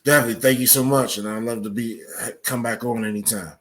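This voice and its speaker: A man speaking as an interview guest, explaining things in a measured, earnest way. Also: medium pitch, fairly even intonation. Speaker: podcast guest, soccer coach.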